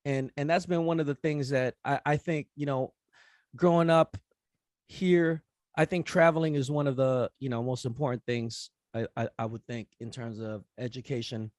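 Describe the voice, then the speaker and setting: A middle-aged man talking casually at a forum, relaxed and rambling with many fillers. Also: mid-range voice, normal speed, no room sound, clean studio sound. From United States. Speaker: rapper and event host speaking at a forum. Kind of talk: casual conference talk after a rap performance.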